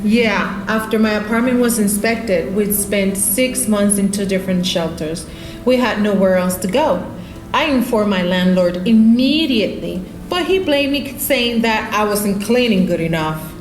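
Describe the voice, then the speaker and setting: A woman's voice: medium pitch, unhurried, close to an everyday voice. A woman acting a worried, weary but determined single mother in a radio play. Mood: weary, frustrated. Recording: roomy, music underneath.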